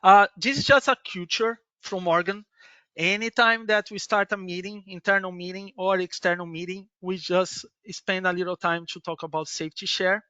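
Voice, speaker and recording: A middle-aged Brazilian man presents a webinar in halting, accented English, restarting phrases and tagging "yes". His voice sits high and sounds bright and clean. The audio is dry and a little muffled. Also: fairly even intonation, clean tone, no echo. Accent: Brazilian Portuguese. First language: Portuguese. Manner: halting non-native English with many restarts and "yes" tags. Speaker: business development manager presenting a webinar.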